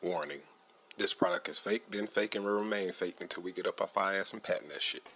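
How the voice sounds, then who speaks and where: A man doing a husky deadpan warning announcer. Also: low voice, a little fast, pitched below the performer's own voice, heavily acted.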